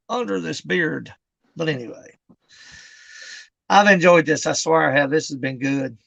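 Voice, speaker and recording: A man in his fifties hosting a casual chat, easygoing and quick to laugh, often stumbling over restarts. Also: a little high, gravelly, fairly bright tone, dry recording. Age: 50s. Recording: dry, dull lo-fi sound.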